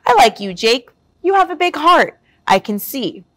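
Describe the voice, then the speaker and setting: A kind little female squirrel with a squeaky, gentle voice, played by a woman reading aloud. She speaks very high. The recording is dry and close. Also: very animated, moderate pace, dry recording. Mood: warm.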